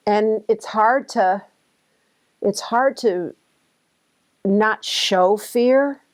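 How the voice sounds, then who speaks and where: A woman speaking candidly in an interview, deliberate and restating her phrases. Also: medium pitch.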